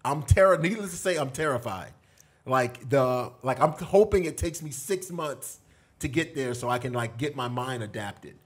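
A middle-aged man casually telling animated stories as a podcast guest, in a small studio on a boom mic. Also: normal speed, slightly rough. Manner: animated, gestures a lot while telling prison stories. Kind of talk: casual storytelling. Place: small studio with foam panels. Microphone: boom mic on table.

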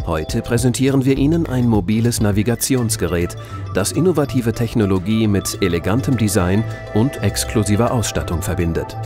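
A man's voice: on the low side, animated, normal speed. A man delivering scripted promotional narration as a voice-over. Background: music.

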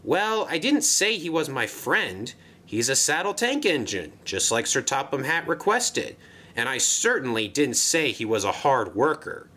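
A man's voice: mid-range voice, very animated, a little slow, sharp tone, full character voice. A man voicing a modest, polite saddle tank engine with dry humor in a children's railway story. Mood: polite, wry. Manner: polite, wry.

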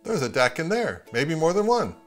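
A man's voice: a little high, big pitch swings, normal speed, soft background music. A middle-aged man talking casually and unscripted to camera at home, hesitant, rambling and self-deprecating. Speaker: video host. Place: open living room at home, indoors.

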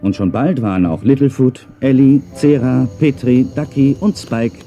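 A man's voice: mid-range voice, moderate pace, warm tone, muffled recording. A man reading scripted narration for a children's audio play, as a storyteller. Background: music.

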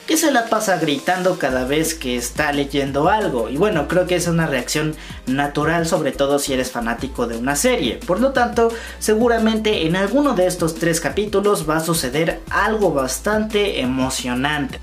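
A man's voice: on the high side, expressive, moderate pace. A man in his twenties with a Mexican accent, explaining fan news directly to his viewers as a YouTube host. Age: 20s. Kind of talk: fan news vlog to camera. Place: small room at home, indoors. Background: background music.

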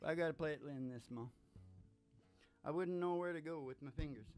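Older man, high-pitched, joking folksy host. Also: fairly quick. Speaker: host and musician. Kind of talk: casual comic banter. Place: small curtained studio stage. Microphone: stage microphone on stand. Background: acoustic guitar.

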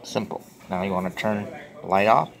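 A man explaining something step by step in plain, halting, repetitive short phrases, like a simple tutorial. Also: sits low, fairly even intonation, gravelly, a crowd in the background. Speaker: tutorial presenter. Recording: noisy handheld phone recording with clicks.

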